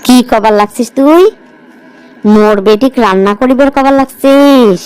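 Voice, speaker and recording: A woman's voice: fairly high pitch, normal speed, darkish tone, clean tone, lightly acted, poor audio. A woman voicing a bossy village stepmother in a cartoon story, speaking with a northern Bangladeshi accent. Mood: angry, threatening. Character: harsh, scolding village stepmother who favours her own daughter. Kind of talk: amateur cartoon story voice acting.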